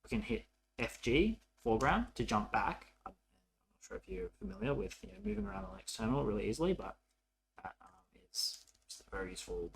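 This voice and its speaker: Man, coding tutorial presenter, informal, halting delivery, noticeable vocal fry, faint background noise. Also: a little high, big pitch swings, low-quality recording. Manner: informal, halting, thinking aloud. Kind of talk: casual coding tutorial narration while typing.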